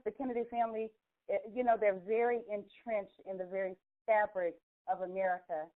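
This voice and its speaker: Female call host, persuasive and emphatic storyteller, mid-pitched, on a narrow telephone connection. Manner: emphatic, rhetorical questions to listeners. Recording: thin narrow phone conference line.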